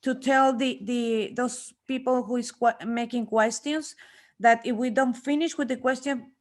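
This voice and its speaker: A woman moderating a round table, speaking spontaneously and haltingly with repeated words. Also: fairly high pitch, fairly even intonation, darkish tone. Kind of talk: moderating a panel, spontaneous speech.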